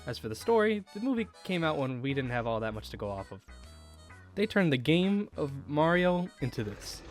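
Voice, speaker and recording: A young man reading a scripted video essay as a student narrator, in a measured, enunciated way with rhetorical questions. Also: fairly high pitch, big pitch swings, soft background music. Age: young adult.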